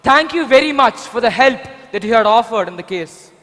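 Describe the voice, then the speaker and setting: A man plays a composed, smug defence lawyer in a stage play. His speech is formal and courteous in a high voice. A hall adds long reverb. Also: animated, brisk, fairly bright tone.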